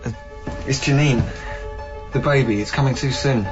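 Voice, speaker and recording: An actor playing an earnest, sympathetic young man in a naturalistic radio drama, soft-spoken and sincere. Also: mid-range voice, normal speed, dull audio, music in the background, natural voice. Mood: shaken. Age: young adult.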